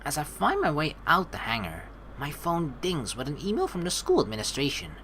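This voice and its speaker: A woman's voice: low-pitched, wildly swooping pitch, fairly quick, noisy background. A woman hosting a let's play, switching between reading game text aloud and casual, playful joking commentary. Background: game music.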